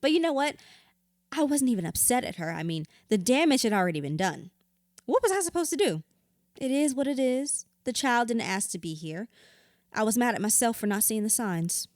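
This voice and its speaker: A woman's voice: fairly high pitch, sing-song, brisk, darkish tone, no room sound, clean studio sound. An actress playing a hurt, bitter widow confiding in her therapist in an audio drama, raw, blunt and sweary. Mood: exasperated. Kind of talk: audio drama acting, confessional dialogue.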